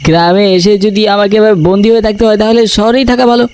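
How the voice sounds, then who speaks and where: A man's voice: high voice, level tone, fast, a little mellow, clean tone, natural voice. A man voicing a cocky, sceptical young landlord's son back from the city in a cartoon. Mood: mocking, annoyed. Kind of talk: dramatized cartoon dialogue.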